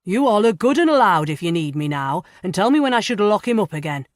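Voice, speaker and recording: A woman voicing a paunchy, fussy male jail warden who talks fast and eagerly. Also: mid-range voice, sing-song, no echo, voice pushed up, lightly acted.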